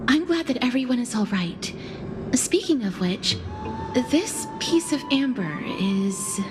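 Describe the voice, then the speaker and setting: An actress playing an earnest, polite and thoughtful young heroine in a video game, speaking in a measured way. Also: female voice, a little high, very animated. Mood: polite, relieved. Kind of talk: video game character acting. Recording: game music under voice.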